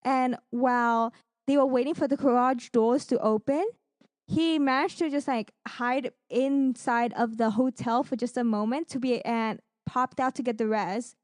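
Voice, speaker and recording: Woman cohosting a gaming podcast, kinda high voice, super into it, gushing about matches, very dry sound. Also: fairly even intonation, a little slow, a little mellow, dry recording. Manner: enthusiastic, gushing. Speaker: podcast co-host. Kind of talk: casual esports chat and game recap.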